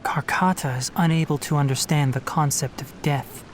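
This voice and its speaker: A man playing a calm, sharp young forest ranger scholar in a video game, explaining things in an articulate, matter-of-fact way. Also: fairly high pitch, normal speed, close to an everyday voice. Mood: earnest, explanatory. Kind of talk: scripted video game character dialogue. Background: background music.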